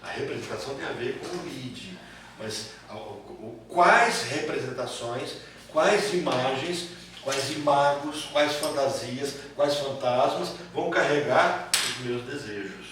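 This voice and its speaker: A man's voice: medium pitch, lively intonation, measured pace. A Brazilian man teaching a class informally, repeating phrases and checking that students follow with short tag questions. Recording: echoey room, far mic, clear background noise.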